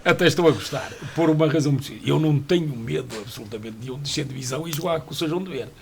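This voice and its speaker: A man's voice: on the high side, racing, gravelly, background noise. A man, a club president on a radio sports panel, telling a wry story.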